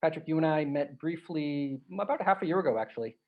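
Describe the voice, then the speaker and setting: A man hosts a podcast in a relaxed, conversational way, his voice carrying a gravelly texture. The audio sounds slightly dull and lo-fi. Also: fairly high pitch, fast talker. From Croatia. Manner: relaxed, chatty, with restarts and filler. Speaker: podcast host. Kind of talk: casual interview chat.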